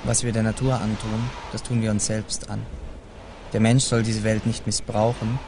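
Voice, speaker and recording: A man's voice: fairly low pitch, flat delivery, normal speed, background noise. A man solemnly reciting archaic religious prose in a declamatory way.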